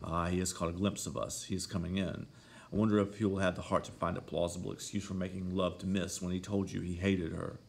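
A man's voice: deep voice, little pitch movement, quick pace, vocal fry. A man voicing a forthright housekeeper woman in a story, blunt and disapproving. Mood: scornful.

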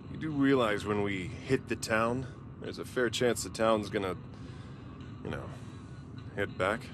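A man's voice: fairly low pitch, a little slow, music in the background, close to an everyday voice. An actor playing a skeptical, worried young man who cautions his brother in a sardonic way, in a video game cutscene. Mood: sardonic, doubtful.

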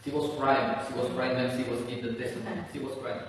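A middle-aged man, a native Indonesian speaker, preaching a sermon as pastor, swinging from quiet lines to loud high-pitched rises while pacing and gesturing. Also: flat delivery, quick pace, a little hiss or hum. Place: large bare community hall, indoors. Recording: echoey hall, voice far from the mic.

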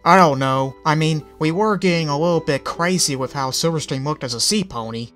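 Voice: medium pitch, a little fast, a bit bright, close to an everyday voice. Give me a cocky young griffin guy with a wry, offhand way of talking and a near-everyday voice, as a reader puts him on. Faint music runs underneath.

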